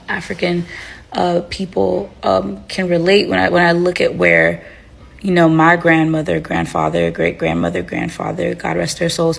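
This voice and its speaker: A young life coach from Haiti speaks in a low, gravelly voice that stays fairly flat. She sounds tired and under the weather with a headache, and gives reflective, unhurried advice full of fillers and restarts. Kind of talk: casual talking-to-camera advice.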